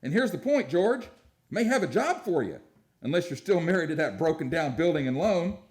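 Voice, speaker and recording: A man's voice: a little high, big pitch swings, moderate pace, darkish tone. An actor playing a brash young businessman and pushy old pal in a radio play, hearty and boastful.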